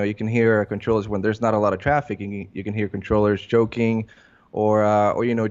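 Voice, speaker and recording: A man with a lowish voice gives unscripted interview answers. He talks haltingly, feeling his way through sentences with many fillers. The sound is somewhat dull and muffled. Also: a little mellow. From Puerto Rico. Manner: halting, hesitant, filler-heavy phrasing. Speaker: interview guest, air traffic controller. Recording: muffled lo-fi recording.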